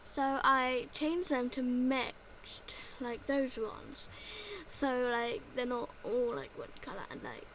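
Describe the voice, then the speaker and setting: A woman's voice: fairly high pitch, animated, takes it slowly, hardly any pauses, a bit bright, a little hiss or hum, poor audio. A young woman narrating her gameplay in a casual voice-over, rambling and filling gaps with "like, yeah". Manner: rambling, filler-heavy, repeats "like, yeah". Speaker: gaming video creator.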